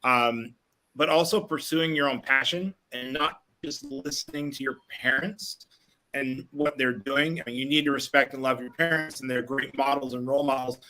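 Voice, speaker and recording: A man's voice: medium pitch, normal speed, sharp tone, low-quality recording. A man speaking off the cuff as an educator in a panel discussion.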